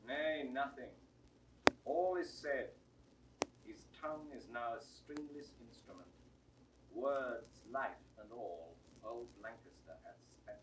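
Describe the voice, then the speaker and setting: An actor playing a grave noble messenger, speaking with measured solemnity in 1960s Shakespearean audio drama style. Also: male voice, medium pitch, close to an everyday voice. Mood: grave, subdued. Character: solemn nobleman bearing news of a death. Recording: distant, noisy vintage recording.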